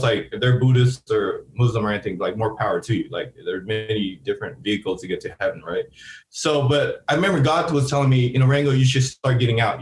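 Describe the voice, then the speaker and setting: A man's voice: medium pitch, slight background noise. A man in his thirties from Seattle, a podcast guest telling a long story in a rambling, casual way with many restarts and fillers. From Seattle, USA. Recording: roomy echo.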